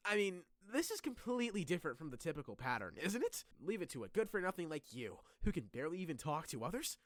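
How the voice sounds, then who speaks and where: Anime dub lead: brash, self-mocking young man voiced by a male actor, lively and expressive, very animated pitch. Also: fast, dry recording, clean studio sound. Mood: flustered, indignant. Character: excitable, self-deprecating young man, brash but scheming. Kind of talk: anime-style fan dub acting.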